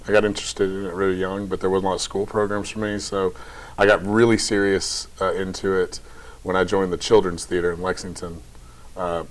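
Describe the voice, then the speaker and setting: Deep-voiced man from Kentucky. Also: rough recording. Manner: relaxed, chatty, light laughs. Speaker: theater artistic director. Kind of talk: casual interview talk.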